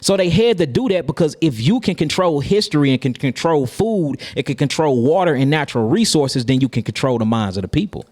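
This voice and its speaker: A man's voice: on the high side, lively intonation, a little fast, few pauses, darkish tone. Emphatic young man lecturing. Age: young adult. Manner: preachy, emphatic, repeats words when starting. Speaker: interview guest, herbalist. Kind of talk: sit-down interview, lecturing.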